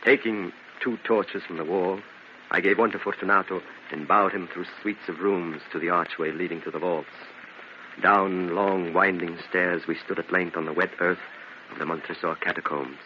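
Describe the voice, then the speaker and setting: A man's voice: deep voice, steady tone, moderate pace, lightly acted. An actor playing a vengeful, grieving and slyly courteous nobleman in an old-time radio gothic drama. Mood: brooding, resolute. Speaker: narrator and lead actor. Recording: muffled, noisy old radio recording.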